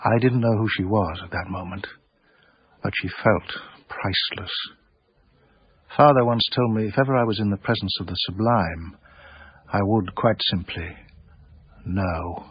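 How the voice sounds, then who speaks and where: A man playing a cultured, sardonic art dealer with an English accent and a husky voice, narrating a radio drama in a measured, literary way. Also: on the low side, no room sound. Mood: enraptured. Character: wry, cultured, obsessive small-time art dealer narrator. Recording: dry, slightly muffled radio broadcast.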